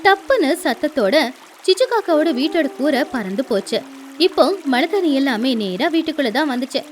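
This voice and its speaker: A woman narrating a scripted children's story as an expressive storyteller. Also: high-pitched, brisk, slight background noise.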